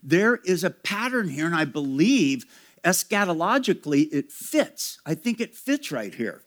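A man's voice: a little high, big pitch swings, unhurried, fairly bright tone. A man preaching a sermon to his congregation, earnest and emphatic, speaking straight to his listeners. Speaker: pastor.

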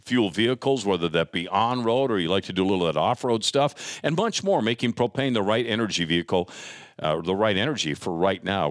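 A man's voice: on the low side, no echo, studio quality. American man hosting, folksy and chatty. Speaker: podcast host. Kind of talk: casual interview and conversational sponsor read.

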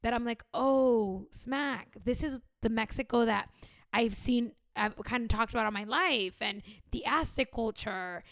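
Slightly high woman, expressive chat. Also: unhurried, dry recording, clean studio sound. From Los Angeles, USA. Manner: expressive, acts out quoted reactions. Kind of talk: casual conversational chat.

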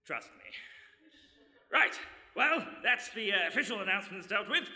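An actor playing a bumbling, nervously jovial ship's captain in a live comedy radio play, stammering through formal announcements. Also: male voice, sits high, expressive, moderate pace, bright, forceful tone, heavily acted. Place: stage in a hall before a live audience. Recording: echoey hall, live stage recording.

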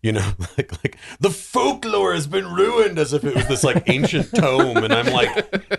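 A man on a film podcast panel, chatting in a slangy, animated way and acting out imagined quotes. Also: a little high, normal speed, gravelly, crowd noise. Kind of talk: casual chat.

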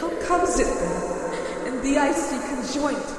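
A woman playing a grieving widowed mother in an audio drama, lamenting in slow, drawn-out, mournful verse. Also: high-pitched, very animated, full character voice. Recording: distant, cavernous hall reverb, heavy noise, music.

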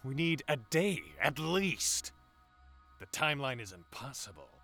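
An actor playing a scornful, insolent sergeant with a raspy voice in a sci-fi war audio drama. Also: male voice, medium pitch, very animated, measured pace, fairly bright tone, faint music behind, exaggerated voice. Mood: defiant. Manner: insolent, protesting. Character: defiant, scornful traitor sergeant, adult man.

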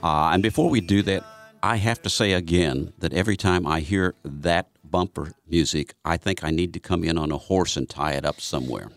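A man's voice: sits low, normal speed. A man hosting a radio interview, chatty and folksy, with plenty of fillers. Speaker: radio show host. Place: radio studio. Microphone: studio microphone.

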